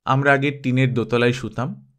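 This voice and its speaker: A man reading a story aloud as an expressive scripted narration. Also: mid-range voice, moderate pace.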